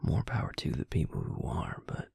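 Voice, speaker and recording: A man playing a tender, regretful male vampire in an intimate audio roleplay, confiding haltingly in a soft, breathy, gravelly whisper. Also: rumbling low, wildly swooping pitch, dry recording, clean studio sound, full character voice. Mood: rueful, candid.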